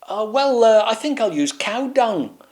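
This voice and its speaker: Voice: medium pitch, very animated, unhurried, a little mellow, voice pushed up. The storyteller voicing Sir Swaggeralot, a pompous, self-assured knight who explains everything with bluster in a raised voice. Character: pompous know-it-all knight, man.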